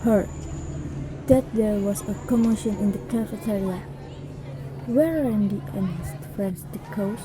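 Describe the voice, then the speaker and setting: A woman's voice: mid-range voice, measured pace, background noise, lightly acted. A young woman playing a female English schoolteacher in an amateur radio drama. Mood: questioning. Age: young adult. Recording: loud music and crowd bustle.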